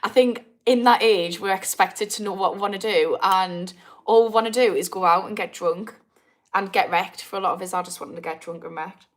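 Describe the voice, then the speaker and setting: A woman's voice: medium pitch, normal speed. A woman in her thirties with a Geordie accent, chatting casually on a livestream, answering viewer comments with self-mocking humour and frequent laughter. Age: 30s. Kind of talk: casual live chat.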